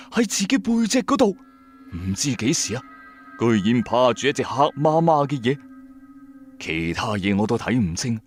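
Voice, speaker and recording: A man's voice: mid-range voice, a little mellow, slight background noise. A man narrating a horror story in the first person, in a colloquial, suspenseful way.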